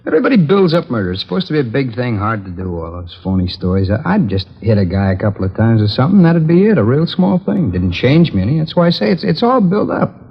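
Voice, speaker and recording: A man's voice: medium pitch, very animated, fast, gravelly, hardly any pauses, slightly rough, exaggerated voice. An American man acting a casual, remorseless drifter who confesses to killings in a 1950s radio drama, talking in an offhand, rambling way on a dull, noisy old recording. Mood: nonchalant. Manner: offhand, matter-of-fact, rambling.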